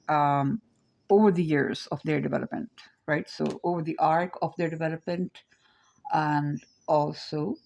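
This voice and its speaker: A woman's voice: deep voice, creaky, darkish tone. A woman teaching her framework in an informal lecture, meandering and thinking aloud, often checking in with "right?".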